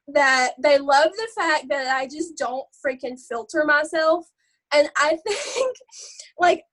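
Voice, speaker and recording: A loud Southern woman with an Alabama accent, talking in a high, bright register. She rambles casually and chattily, with frequent laughs breaking into her tips. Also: rough recording. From Alabama, USA. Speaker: social media seller sharing branding tips. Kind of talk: casual live stream chat.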